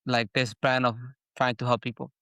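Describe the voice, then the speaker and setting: A man from Venezuela with a Venezuelan Spanish accent, a podcast host who speaks in a halting way, restarting and repeating words. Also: medium pitch, flat delivery, sharp tone, clean tone, dry recording, clean studio sound. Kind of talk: casual interview conversation.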